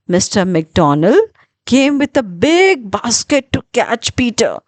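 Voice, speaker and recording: A woman narrating a children's story in an expressive, deliberate storytelling manner with dramatic pauses. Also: a little high, dry recording.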